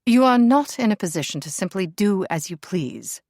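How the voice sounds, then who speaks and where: A woman's voice: mid-range voice, expressive, moderate pace, a little mellow, no room sound, studio quality, lightly acted. A woman voicing a haughty young royal princess, cold and clipped in her snobbery.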